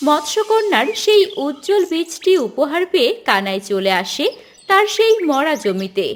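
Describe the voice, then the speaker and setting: A woman's voice: sits high, sing-song, moderate pace, soft background music. A woman giving scripted storybook narration for a folk tale.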